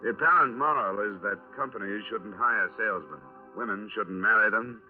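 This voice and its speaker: A man's voice: lowish voice, moderate pace, narrow, tinny audio, faint music behind, natural voice. An American man acting a hard-boiled, dry, insistent insurance investigator in a 1950s radio drama, clipped and matter-of-fact. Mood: dry, wry. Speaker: lead actor in a radio crime drama. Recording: thin, band-limited 1950s radio recording.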